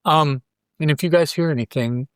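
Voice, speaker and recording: A man hosting a podcast, casually recapping a story in a halting way as if thinking aloud. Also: on the high side, smooth, dry recording. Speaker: podcast host. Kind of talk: casual panel recap of a comic book.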